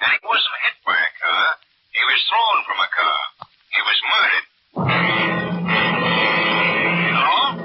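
A man's voice: a little high, wildly swooping pitch, moderate pace, faint music behind. An American man playing a courteous, businesslike telephone caller in an old radio drama. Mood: matter-of-fact. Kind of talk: 1950s radio drama acting. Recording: muffled, hissy old radio, telephone-like sound.

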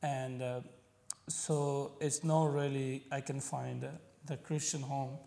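A man from Pakistan with a Pakistani accent and Punjabi as his first language, speaking haltingly as a podcast guest. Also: mid-range voice, little pitch movement, vocal fry, warm tone. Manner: halting, searching for words, frequent fillers. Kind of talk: conversational interview.

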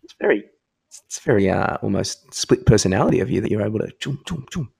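A man co-hosting a podcast, asking inquisitive questions in a halting, casual conversational way. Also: on the low side, lively intonation, vocal fry, a little mellow, slightly rough. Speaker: podcast co-host. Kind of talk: casual interviewing chat.

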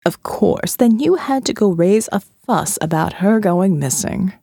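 A woman reading an audio novel voices a condescending male captor who talks slowly and patronizingly. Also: medium pitch, animated, creaky, warm tone, husky, no echo, studio quality, voice pushed down, full character voice. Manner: slow, drawling, patronizing. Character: smooth condescending male captor, middle-aged.

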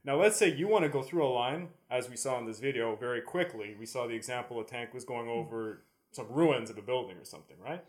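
A man's voice: mid-range voice, fast. A Canadian man in casual podcast conversation, explaining things step by step through examples. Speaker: podcast co-host.

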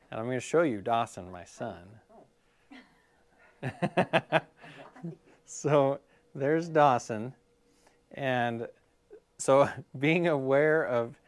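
A man's voice: medium pitch, clear, resonant tone, no room sound. A middle-aged man explaining scoliosis care at a live workshop, casual and full of fillers, while losing his voice. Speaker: physical therapist leading a scoliosis workshop. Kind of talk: live-streamed workshop talk. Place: clinic room.

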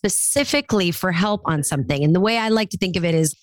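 An upbeat woman in her late forties hosts a coaching conversation, encouraging and playful. Her voice sits a little low for a woman, and the sound is dry and close, with no room around it. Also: fast talker, no room sound. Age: 40s. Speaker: podcast host and speaking coach. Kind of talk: coaching call interview.

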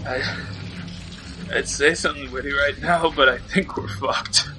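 An actor playing an excitable, complaining mercenary gunner, sarcastic and foul-mouthed, in an action audio drama. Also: male voice, fairly high pitch, measured pace. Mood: panicked sarcasm.

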